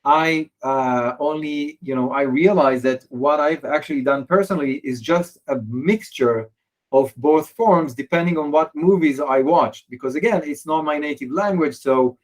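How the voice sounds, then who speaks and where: Man demoing software, halting. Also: on the high side, low-quality recording. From Israel. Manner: hesitant, many fillers and restarts. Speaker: software presenter. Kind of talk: software demo walkthrough.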